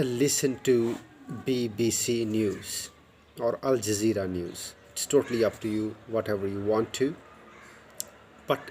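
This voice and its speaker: A man's voice: mid-range voice, darkish tone. Pakistani-accented man, 30s, Urdu native, casual phone-to-camera tutor, earnest with frequent restarts, outdoor earphone mic with faint background hiss. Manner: earnest, repeats words and restarts often. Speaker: YouTube English tutor. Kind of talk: casual explainer lesson to camera. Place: outdoors by a brick wall. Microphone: wired earphone mic, phone at arm's length.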